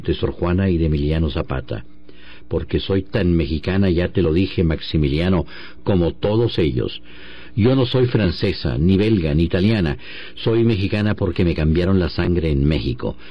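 A man plays a mad old empress in a dramatic literary radio reading. The voice is very low, solemn and incantatory, full of grandeur and grief. The recording is dull and lo-fi.

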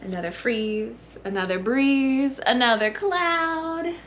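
A woman in her thirties hosting a children's story time, reading and singing expressively with hushed, breathy asides. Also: fairly high pitch, takes it slowly, dull audio. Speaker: library story time host. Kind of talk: children's story time read-aloud and sing-along. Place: children's library room, indoors. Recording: noticeable background room noise.

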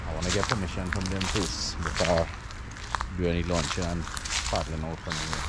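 A man's voice: low-pitched, level tone, brisk, heavy background noise. A man with a Trinidadian accent giving casual, laid-back vlog commentary to his viewers, recorded outdoors on an action camera. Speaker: outdoors vlogger. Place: outdoors on the water in a kayak bay. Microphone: handheld action camera.

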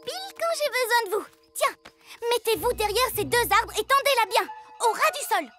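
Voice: very high-pitched, animated, normal speed. A performer playing a feisty, determined six-year-old girl superhero in a dubbed children's cartoon. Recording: music behind the voice.

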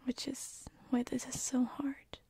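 Woman acting as a female sleep paralysis demon, ASMR roleplay, tender, hesitant, mellow breathy whisper, very dry close binaural mic. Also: sits high, level tone, no room sound, heavily acted. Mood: anxious, loving. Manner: intimate, hesitant, slow and soothing. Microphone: close binaural microphone.

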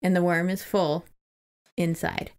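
A woman's voice: fairly low pitch, fairly even intonation, a little slow, clean tone. A woman co-hosting a podcast, casually retelling a book in an informal, giggly, lightly sweary way. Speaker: podcast co-host. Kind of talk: casual book discussion with retelling and read-out passages.

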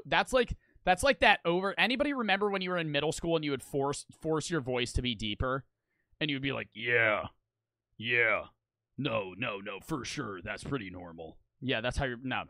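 A young man streaming casually, talking fast and animated with lots of swearing, close to the mic. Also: medium pitch, no room sound.

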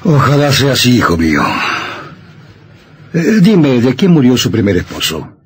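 Radio play role: stern older surgeon father, insinuating, medium-pitched, faint background noise. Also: wildly swooping pitch, a little slow. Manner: insinuating, hesitant. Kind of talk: radio drama acting.

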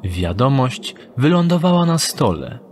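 A man narrating an audio drama in an even storytelling tone. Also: medium pitch, unhurried, background noise.

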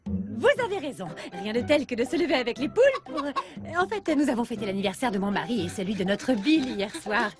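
A woman playing a polite, kindly young mother in an animated series, chatty in manner. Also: a little high, a little fast, natural voice. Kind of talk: French animated series dubbing.

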